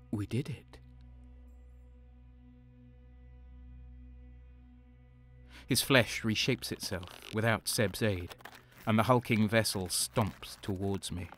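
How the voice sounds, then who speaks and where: Low-voiced haunted soldier played by a man, intensely dramatic. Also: fairly bright tone. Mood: fearful intensity. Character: haunted, horror-struck traitor soldier narrating his own nightmare. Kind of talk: dramatic first-person audio drama narration.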